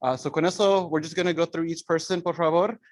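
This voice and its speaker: A man's voice: on the high side, fairly even intonation, fast, a little hiss or hum. A man, a school administrator, giving staff updates at a parent meeting and switching between English and Spanish. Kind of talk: bilingual staff announcement.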